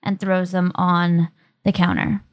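A woman's voice: lowish voice, level tone, measured pace, dry recording. A woman as game master, improvising narration and dialogue for a tabletop game in a hesitant, matter-of-fact way.

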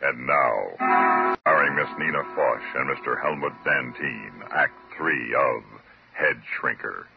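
A man's voice: very deep voice, slow pace, creaky. A man announcing a 1950s radio drama in a scripted presentational style over music. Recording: dull old radio recording.

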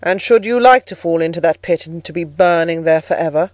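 A woman voicing a solemn, stern male clergyman who speaks slowly and preachily in an audiobook story. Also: a little mellow, dry recording, close to an everyday voice. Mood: stern, menacing. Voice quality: bass. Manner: solemn, slow, sermonizing. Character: solemn, stern, harsh elderly clergyman interrogating a child.

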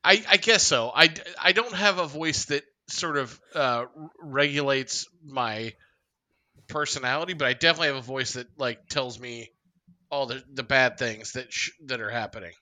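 Very bright, sharp-voiced man chatting. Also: mid-range voice, moderate pace, no room sound. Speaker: podcast co-host. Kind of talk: casual chat.

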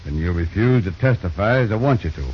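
Casting: low male as a controlled, menacing frontier boss; vintage radio drama with background hiss. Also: lively intonation, quick pace. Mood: threatening. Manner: curt, controlled, quietly menacing. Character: scheming, domineering boss who owns a frontier town. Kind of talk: 1930s radio acting. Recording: hissy, muffled 1930s radio recording.

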